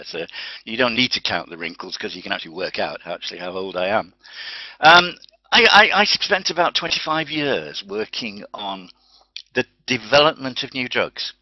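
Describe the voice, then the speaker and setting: An older Welsh man talks through a webinar from his home study, chatty and anecdotal, often restarting his phrases. His voice sits fairly low, and the webcam sound is dull and lo-fi but dry, with no room echo. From Wales. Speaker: guest speaker. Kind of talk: webinar presentation. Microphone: webcam on computer.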